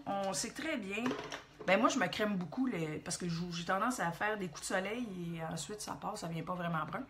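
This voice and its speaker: Bright-voiced Québécois woman in her forties. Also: mid-range voice, a little hiss or hum. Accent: Quebec French. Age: 40s. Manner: chatty, rambling, thinks aloud. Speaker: makeup tutorial host. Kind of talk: casual makeup tutorial.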